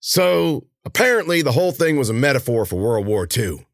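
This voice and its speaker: A man voicing a crude, impatient henchman who blusters and bullies in rough grammar in an audiobook story. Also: medium pitch, sing-song, normal speed, dry recording, studio quality, voice pushed up. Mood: pompous. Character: crude, impatient henchman, bullying his partner.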